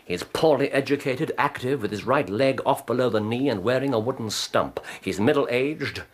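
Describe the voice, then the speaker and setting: An actor with an English accent playing an incisive, sardonic, self-assured gentleman detective in a radio drama, speaking precisely. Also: mid-range voice, normal speed, natural voice. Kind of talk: British radio drama acting.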